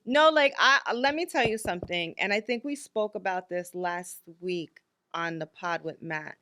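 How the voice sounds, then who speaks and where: A woman's voice: fairly low pitch, moderate pace, bright, forceful tone, a little hiss or hum. A woman in her fifties co-hosting a podcast, talking candidly and bluntly, with lots of filler likes. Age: 50s. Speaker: podcast co-host. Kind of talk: casual chat.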